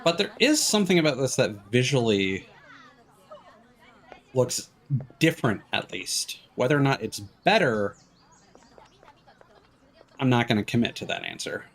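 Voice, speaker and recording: A man's voice: medium pitch, very animated, measured pace, creaky, pauses often, a bit bright, some chatter behind. A man doing casual, rambling livestream commentary as he plays a game, with wry asides. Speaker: game streamer. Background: game music.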